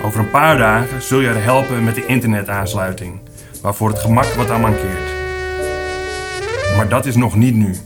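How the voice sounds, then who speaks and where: A man performing a poetic audio play, narrating in deliberate fragments with pauses. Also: on the low side. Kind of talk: poetic spoken-word narration in second person. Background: loud music with trumpet.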